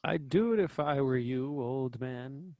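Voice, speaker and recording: A man's voice: mid-range voice, measured pace, warm tone, lightly acted. A man plays a dead soul in a stage read-through. His middle-range voice is grave, urging repentance with solemn, plain delivery. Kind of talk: acting in a virtual table read.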